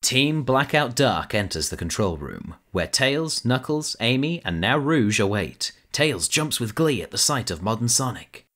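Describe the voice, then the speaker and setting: A man narrating a fan audio drama in a steady storytelling manner. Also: medium pitch, unhurried, few pauses. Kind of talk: scripted narration.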